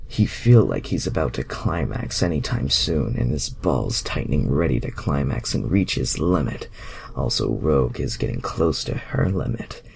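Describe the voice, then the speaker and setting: A man's voice: a bass voice, sing-song, normal speed, vocal fry, a little mellow, a little hiss or hum. A man reading fan fiction aloud as a casual amateur narrator, stumbling over and misreading words.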